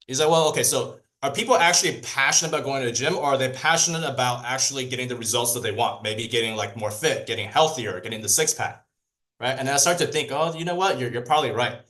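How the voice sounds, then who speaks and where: Bright-voiced man coaching a group. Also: mid-range voice, fast. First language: Cantonese. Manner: lively, asks the room questions, repeats key points. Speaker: sales trainer. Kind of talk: training talk to a group.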